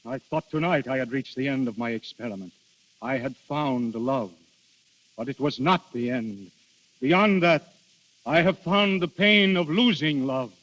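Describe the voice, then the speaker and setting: An actor playing Death in human guise as a proud, sorrowful immortal lover, in grand, eloquent 1930s radio style. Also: male voice, mid-range voice, unhurried, a bit bright, natural voice. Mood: melancholy, grave. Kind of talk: 1930s radio drama acting. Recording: muffled old 1930s radio recording.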